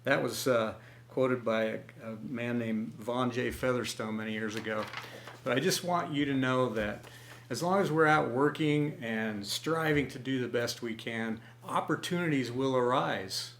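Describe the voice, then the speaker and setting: Slow, encouraging male storyteller. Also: mid-range voice, a bit bright. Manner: encouraging, folksy, halting. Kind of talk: home storytelling video. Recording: echoey room.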